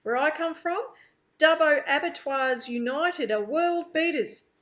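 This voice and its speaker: A woman's voice: sits high, unhurried, fairly bright tone, voice pushed up. A woman voicing a jovial, boastful man from a storybook, hearty and joking. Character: jovial, boastful Australian man, friendly truck worker.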